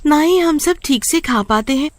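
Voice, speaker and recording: A woman narrator acts a young schoolgirl in a folk tale. The caring younger sister asks things gently, in a raised and animated voice. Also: high-pitched, normal speed, dry recording, pitched above the performer's own voice. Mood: worried.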